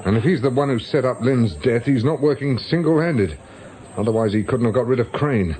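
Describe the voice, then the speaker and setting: A man acting a shrewd, stubborn amateur sleuth in a British radio drama, questioning bluntly in a husky voice. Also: medium pitch, a little fast, warm tone, lightly acted, rough recording. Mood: firm, probing. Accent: British English.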